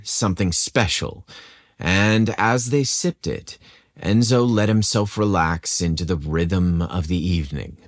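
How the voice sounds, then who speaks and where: Very deep-voiced man, slow storyteller. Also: dry recording, studio quality. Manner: measured, deliberate storytelling. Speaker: podcast narrator and host. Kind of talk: scripted romantic story narration.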